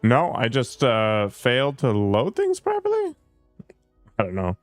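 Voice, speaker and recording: A man's voice: medium pitch, wildly swooping pitch, unhurried, faint music behind. A man streaming a video game, chatting casually and crudely with his viewers and poking fun at himself. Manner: joking, crude, self-mocking. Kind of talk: casual gameplay commentary to chat.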